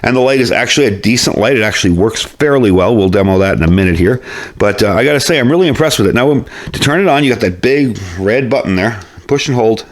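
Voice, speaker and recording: A man's voice: medium pitch, expressive, fast. A man hosting a casual product review vlog, explaining things in a conversational, folksy way.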